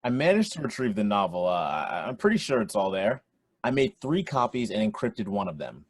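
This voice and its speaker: Radio play boy next door: awkward, stumbling, husky, animated. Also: fairly low pitch, fairly quick. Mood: modest. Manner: hesitant, stumbling.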